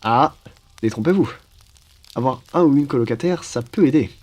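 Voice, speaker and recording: A man playing a hospitable, wise phoenix in a French roleplay, speaking in a calm, reassuring and attentive way. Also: mid-range voice, moderate pace, natural voice. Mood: welcoming, reassuring. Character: wise hospitable male phoenix welcoming travelers into his cave. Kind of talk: French roleplay ASMR storytelling.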